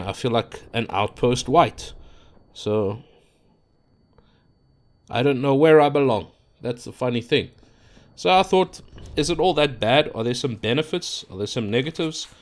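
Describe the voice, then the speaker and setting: A man from Africa, talking casually into his own microphone in an unscripted, rambling monologue full of fillers and restarts. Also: medium pitch. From South Africa. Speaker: YouTuber. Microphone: own microphone at a computer.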